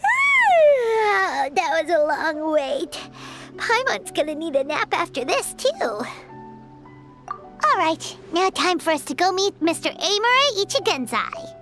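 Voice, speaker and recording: A tiny, excitable game companion girl with a piping, very high voice that swings widely up and down. She is bouncy and a little whiny, and calls herself by her own name. Game music plays clearly behind her. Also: takes it slowly, full character voice. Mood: excited. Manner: bouncy, whiny, refers to self in third person. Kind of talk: video game voice acting.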